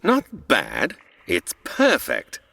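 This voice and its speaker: A man's voice: medium pitch, huge pitch swings, drawn-out words, creaky, pushed and bright, slightly rough, in a large hall, full character voice. An actor playing a scheming male villain who gives orders smugly in a comedy audio drama. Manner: commanding, smug.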